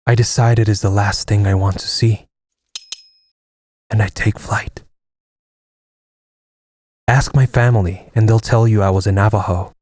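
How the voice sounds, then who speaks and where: A man playing a stoic, fatalistic Navajo army air force lieutenant in an audio comic, solemn and measured. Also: fairly low pitch, fairly even intonation, darkish tone, dry recording, natural voice. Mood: resigned, calm. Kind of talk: dramatic first-person narration and dialogue in an audio comic.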